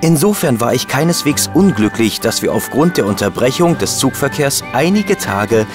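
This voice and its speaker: An actor playing a put-upon, obliging and wry assistant and chronicler in a scripted radio drama. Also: male voice, medium pitch, big pitch swings, moderate pace, music in the background, natural voice. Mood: narrating, composed.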